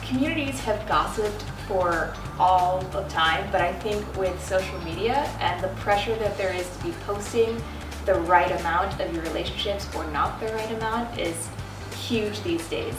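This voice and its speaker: A woman's voice: medium pitch, normal speed. A woman of twenty-nine reflecting in casual chat on a studio sofa. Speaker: panel guest. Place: studio set with sofa, indoors. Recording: roomy, distant, music bed.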